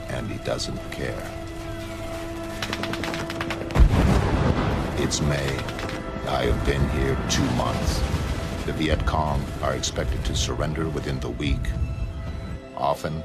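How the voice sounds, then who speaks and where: A man playing a detached, melancholy godlike superhuman who narrates a motion comic in a measured, solemn, flat manner. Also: rumbling low, creaky, heavily acted. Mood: detached, reflective. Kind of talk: first-person dramatic narration of a motion comic. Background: music.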